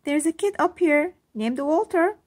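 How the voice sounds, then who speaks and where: Woman as a little girl, high voice, earnest, excitable, lively swoops, storybook reading. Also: a little slow. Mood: excited. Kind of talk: children's storybook read-aloud.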